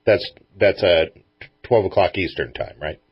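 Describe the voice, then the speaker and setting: Man, talk show host, lowish voice, informal interview chat, dry close sound, dull lo-fi recording. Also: unhurried, slightly rough, no room sound. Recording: muffled, dull lo-fi audio.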